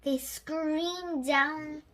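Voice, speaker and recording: A child reading a storybook aloud in a halting way, correcting slips along the way. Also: high-pitched, steady tone, a bit bright.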